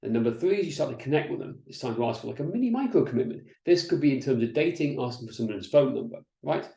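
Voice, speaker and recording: Man, middle-aged, business coach, mellow voice, chatty webinar delivery, roomy echo. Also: mid-range voice, fast talker, creaky. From Wales. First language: Welsh. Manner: energetic, chatty, with frequent restarts and "right?" checks. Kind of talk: presenting slides in an online masterclass.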